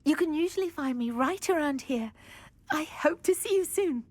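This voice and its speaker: Timid wistful lady, high voice, genteel, dry close recording, video game. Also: animated, normal speed, no echo. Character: sweet, timid, wistful woman fascinated by butterflies. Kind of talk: video game dialogue acting.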